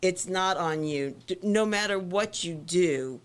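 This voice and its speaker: A middle-aged woman from South Florida with a Florida accent and a New York twang, candidly telling her story as a podcast guest, halting with many ums. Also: on the low side.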